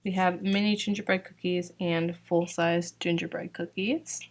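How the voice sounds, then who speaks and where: A young woman talks casually and unhurriedly, filling her sentences with "like" and "um." Her voice sits a little low and sounds a bit worn, as if she has been losing it lately. She is from Georgia. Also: little pitch movement, unhurried, slight background noise. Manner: chatty, fillers like "like" and "um". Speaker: sticker shop owner presenting new releases. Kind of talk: casual product walkthrough.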